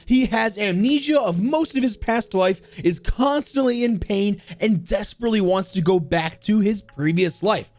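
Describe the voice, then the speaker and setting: A man's voice: high voice, normal speed, few pauses, darkish tone. A man hosting a countdown video on video game lore, talking in an enthusiastic commentary style.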